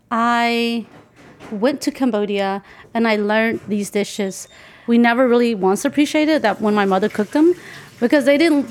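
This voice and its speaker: A woman in her forties chatting casually in a kitchen as she cooks, laughing often and explaining each ingredient. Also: fairly high pitch. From United States. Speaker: guest cooking in a recorded segment. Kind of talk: casual chat while cooking. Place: home kitchen.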